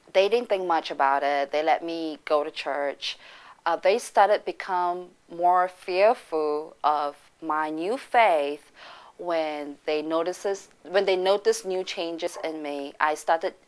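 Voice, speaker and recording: Earnest, lower-pitched woman. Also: clear, resonant tone. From Singapore. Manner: earnest, reflective, pausing often. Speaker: church member giving testimony. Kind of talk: personal faith testimony.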